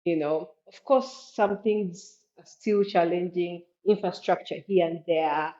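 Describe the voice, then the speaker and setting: A woman's voice: fairly low pitch, unhurried, mellow. A woman with a Ugandan accent giving earnest, explanatory answers as a travel expert in an interview, often adding "you know". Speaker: podcast guest, Africa travel expert. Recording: slightly dull, lo-fi audio.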